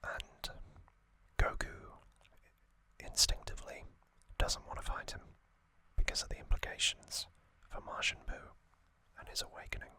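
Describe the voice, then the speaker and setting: Slow, whispering man hosting ASMR. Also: low-quality recording. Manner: soft whisper, slow, with hesitant fillers. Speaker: ASMR host.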